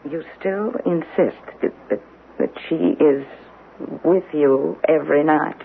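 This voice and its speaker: An actress playing a blunt, scolding but caring niece in an old-fashioned radio drama. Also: female voice, low voice, takes it slowly, vocal fry, exaggerated voice. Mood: worried, scolding. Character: brisk, sharp-tongued niece, exasperated but caring. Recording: tinny noisy old radio broadcast.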